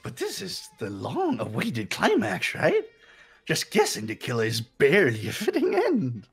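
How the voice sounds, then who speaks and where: A performer voicing a gleefully sadistic bear mascot villain in a game, raspy and gravelly, theatrical and taunting. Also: medium pitch, huge pitch swings, unhurried, faint music behind, full character voice. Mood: menacing playful. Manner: theatrical, taunting, giggling, pun-happy. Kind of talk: character voice acting in a game fan dub.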